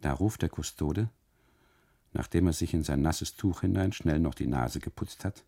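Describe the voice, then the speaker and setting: A man narrating a literary radio monologue in the first person, with a gravelly, husky voice and an emphatic, rhetorical delivery of long winding sentences. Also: a bass voice, normal speed, mellow.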